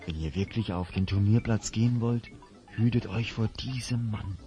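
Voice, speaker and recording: A man's voice: lowish voice, normal speed, dark tone, muffled recording, soft background music, lightly acted. An actor playing a friendly but worried male innkeeper who confides rumours and warnings in a fantasy audio drama. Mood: grave, confiding.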